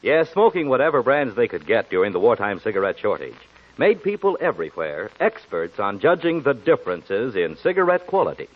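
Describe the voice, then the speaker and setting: Casting: male old-time radio pitchman, middle pitch, extremely varied intonation, tinny band-limited sound. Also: very animated, a little fast. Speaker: radio commercial announcer. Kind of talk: scripted 1940s radio advertising pitch.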